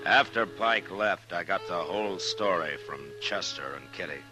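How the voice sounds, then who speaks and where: An actor playing a stern, laconic frontier marshal in a 1950s radio western, terse and understated, with a dry wit. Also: male voice, sits low, lively intonation, moderate pace. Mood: grave, reflective. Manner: terse, plain-spoken, understated. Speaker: narrator and lead character. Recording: old radio broadcast with music.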